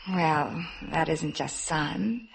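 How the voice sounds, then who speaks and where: Casting: coy young woman, early twenties, unhurried low voice, tender and hesitant, old radio drama. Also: gravelly, exaggerated voice. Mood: coy, playful. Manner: coy, hesitant, softly reminiscing. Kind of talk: 1950s radio drama acting. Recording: noisy 1950s radio recording.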